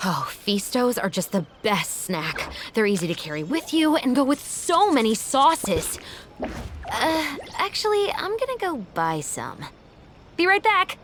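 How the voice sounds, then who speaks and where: A woman voicing a confident young female patrol officer in a video game, composed, deliberate and expressive. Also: on the high side, noisy background. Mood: eager. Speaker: video game character voice actor. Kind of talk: scripted game character voice lines. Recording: music behind the voice.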